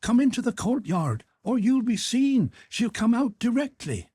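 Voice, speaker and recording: An urgent young maidservant hurrying guests inside, as a male narrator puts her on. Her voice is pitched high and quick. It carries a husky edge. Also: very animated, dark tone, no room sound, studio quality, full character voice.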